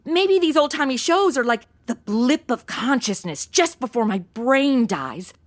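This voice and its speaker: A woman acts a young American valley-girl type in a comedy radio play. The voice is high and swoops around, blunt, casual and easily exasperated. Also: expressive, measured pace. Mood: anxious, baffled. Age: young adult. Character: flighty, slangy young modern woman, easily rattled. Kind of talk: comedy audio drama acting.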